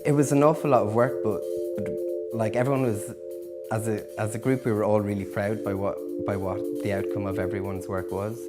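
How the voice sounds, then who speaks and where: Hesitant low-voiced sculpture student, man. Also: steady tone, fairly quick, loud music behind the voice. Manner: hesitant, many ums. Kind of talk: documentary interview.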